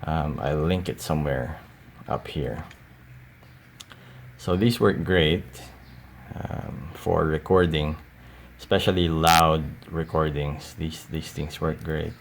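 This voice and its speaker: A man with a very low voice and a lot of vocal fry talks very slowly through a casual show-and-tell. He sounds halting and unscripted, with some faint noise behind him. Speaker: collector showing cassette tapes. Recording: audible steady background noise.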